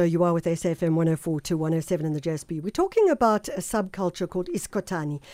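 A woman hosting a radio show, chatting briskly and asking questions in the studio. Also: mid-range voice, warm tone, no echo. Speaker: radio host. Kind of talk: radio interview.

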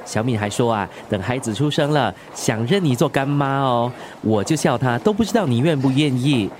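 A man acting a good-natured, hardworking father-to-be in a radio drama. He chats in an easygoing way at a middling pitch, with clear traffic noise behind him. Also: expressive, moderate pace, a little mellow, natural voice. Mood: cheerful, content. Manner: easygoing, chatty.

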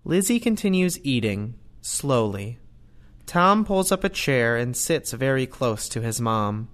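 Male narrator for audio play: medium pitch, measured slow pace, reads scripted stage directions. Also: very animated, a bit bright.

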